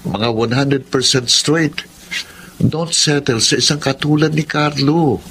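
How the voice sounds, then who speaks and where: A man's voice: fairly high pitch, slow, a bit bright, slight background noise. Blunt, cocky young Filipino man. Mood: insistent advising. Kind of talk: Filipino radio drama acting.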